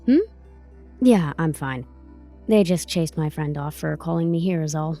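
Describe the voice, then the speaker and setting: A woman's voice: low-pitched, a little slow, mellow, soft background music. A woman playing a tough, protective advocate in an audio drama, blunt, slangy and profane. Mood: brisk, bracing. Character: tough, brassy, protective woman advocate coaching her client.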